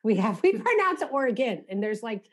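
A woman's voice: on the high side, sing-song, a little fast, husky. An American woman hosting a casual interview, asking questions informally and reacting with short interjections. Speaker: podcast interviewer.

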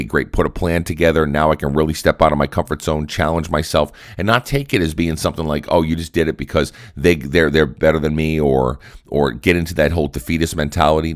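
Solo podcast host, male, deep and raspy with a cold, earnest pep-talk delivery. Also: no echo, studio quality. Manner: earnest, repetitive, coaching tone.

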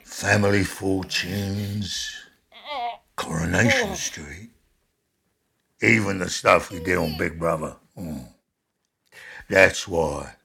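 An actor in an audio story playing a huge male thug with a slow, deliberate, mock-friendly menace and a gravelly, husky voice. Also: sits low, a bit bright, exaggerated voice. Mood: quietly menacing. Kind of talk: dramatised audio story dialogue.

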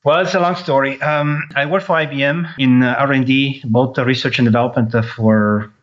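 A man, a tech company founder, speaking at an ordinary pitch as an interview guest. His speech is halting and packed with "uh" fillers. The audio sounds dull and lo-fi. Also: steady tone. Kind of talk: interview answers. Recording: dull lo-fi line.

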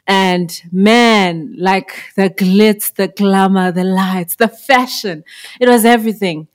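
A woman from Zimbabwe in her thirties, a musician answering podcast questions in a reflective way with fillers and soft laughs. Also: medium pitch, slow pace. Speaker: musician guest. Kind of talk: podcast interview.

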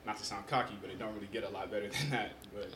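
A young American-born man whose first language is Yoruba, telling his story in a relaxed, casual way as a podcast guest. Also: lowish voice, racing, a crowd in the background. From United States. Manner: relaxed storytelling, frequent fillers. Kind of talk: casual conversation. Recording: echoey room with background noise.

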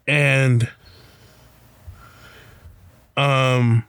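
A man telling a personal story about a protest in a casual, halting way, with many fillers and restarts. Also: mid-range voice, level tone, clean tone. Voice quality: loud voice.

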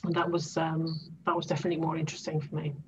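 Lower-voiced Greek-born woman, muffled line. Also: completely flat delivery, rapid-fire. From Greece. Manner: reflective, searching for words as she speaks. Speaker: transformation coach, guest. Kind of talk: podcast interview answers. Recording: dull lo-fi sound with faint hiss.

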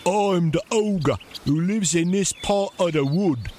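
A man's voice: sits high, slow pace, mellow, slight background noise. A man voicing an enormous ogre in a children's fairy tale, menacing yet vain and gullible, with rustic grammar. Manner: rustic ungrammatical speech.

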